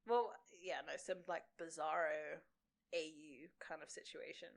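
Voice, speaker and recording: A woman's voice: low-pitched, fairly even intonation, measured pace, gravelly. A woman co-hosting a podcast, recapping a TV show in casual conversation. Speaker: podcast co-host.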